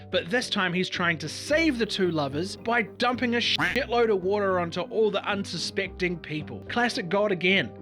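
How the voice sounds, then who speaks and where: A man's voice: high voice, bright, forceful tone. A man giving a sarcastic, profane comedic history commentary as a video host.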